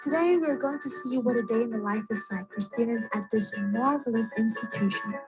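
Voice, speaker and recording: A woman's voice: a little high, a little fast, rough recording. Upbeat girl-voiced woman narrating over music. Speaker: student narrating a school video. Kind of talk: scripted video narration.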